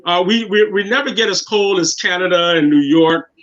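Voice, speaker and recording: Man in his 50s, interview guest, bright voice, muffled lo-fi sound. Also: high voice, normal speed. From Guyana. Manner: earnest, repeats words while finding his thoughts. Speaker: guest, city councilman. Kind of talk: casual interview talk. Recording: dull lo-fi sound.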